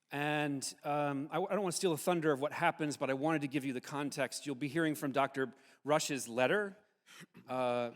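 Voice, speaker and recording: Man addressing a hall, long reverb. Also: medium pitch. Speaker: host introducing a dramatic reading. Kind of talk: informal live introduction to an audience. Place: large hall, speaking live to an audience.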